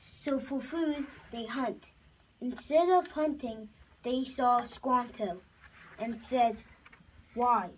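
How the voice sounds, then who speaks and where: Slow, high young voice narrating from a distance. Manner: halting, repeats and restarts phrases. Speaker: puppet show narrator. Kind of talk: live storytelling narration of a homemade puppet show. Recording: distant, faintly noisy home recording.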